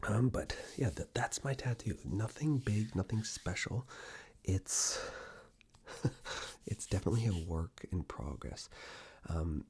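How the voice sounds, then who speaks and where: Male ASMR host: low, very husky, hushed and half-whispered, chatting intimately to camera. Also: expressive, takes it slowly. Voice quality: hushed, breathy, often dropping into a whisper. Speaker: ASMR video creator and host. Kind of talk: ASMR, soft-spoken personal ramble to camera.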